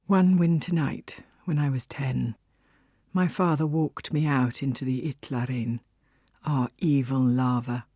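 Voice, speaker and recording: A woman reading a first-person literary story as an audiobook narrator, with a husky voice. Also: extremely low pitch, steady tone, a little slow, warm tone, no echo, studio quality.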